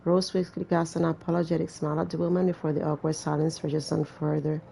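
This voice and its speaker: A woman's voice: sits low, little pitch movement, quick pace, gravelly, warm tone, breathy edge. A woman reading a visual novel aloud as a gamer, with casual, irreverent and sweary asides. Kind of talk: let's-play reading with casual commentary.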